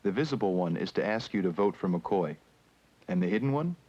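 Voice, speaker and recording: A man's voice: fairly low pitch, expressive, a little fast, a little mellow. Male instructional narrator, muffled old film sound. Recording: muffled 1970s educational film soundtrack with music.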